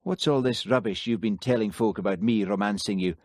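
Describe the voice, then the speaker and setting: A man reading an audiobook, voicing a Highland village policeman who talks plainly and questions firmly. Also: a little fast, darkish tone, dry recording, clean studio sound, lightly acted. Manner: plain-spoken, firm questioning. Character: Highland village policeman, easygoing but stern. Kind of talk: audiobook character voice.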